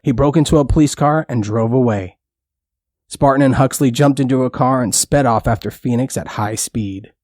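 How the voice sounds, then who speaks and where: A man's voice: medium pitch, normal speed, a little mellow, no room sound. Dry, close male storybook narrator. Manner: plain, simple storytelling. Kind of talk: scripted bedtime story narration for children.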